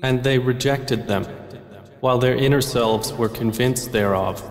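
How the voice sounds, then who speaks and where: Solemn man reading scripture, echoey hall. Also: mid-range voice. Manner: calm, deliberate, solemn delivery in short phrases. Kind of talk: measured verse-by-verse reading of a translation. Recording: roomy recording with long hall reverb.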